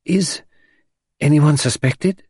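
A man's voice: on the high side, slow pace, a little mellow, breathy edge, dry recording, clean studio sound, pitched above the performer's own voice. A man voicing a shy, anxious young French woman in an audiobook, hesitant and sweetly polite. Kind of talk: audiobook character voice.

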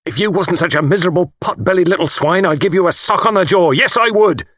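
A man voicing a tipsy, insolent young working-class chauffeur in an audiobook, talking in a rough, loose-tongued way. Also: high-pitched, moderate pace, no room sound, studio quality, voice pushed up. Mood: insolent, angry.

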